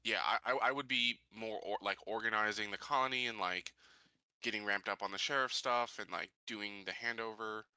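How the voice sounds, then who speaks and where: A man playing a tabletop roleplaying game, talking casually in halting, piecemeal phrases. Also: fairly low pitch, fairly even intonation, sharp tone, smooth, no room sound, low-quality recording.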